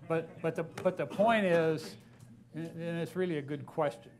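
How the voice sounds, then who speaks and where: A man's voice: on the high side, moderate pace, darkish tone. A man speaking as a panelist in a live investor panel, rambling and correcting himself as he goes.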